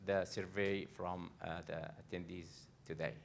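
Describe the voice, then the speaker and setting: A man's voice: sits low, level tone, a little slow, gravelly, slight background noise. A man from Egypt, a coach presenting a live workshop to an audience. He speaks earnestly, often restarting and repeating phrases as he addresses the room directly. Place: library event room.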